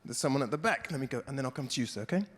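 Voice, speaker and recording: A man's voice: medium pitch, lively intonation, racing, a little hiss or hum. A man moderating a panel discussion, taking questions from the audience in a conversational way. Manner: conversational, repeats words when starting. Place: stage in a large hall. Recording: long hall reverb.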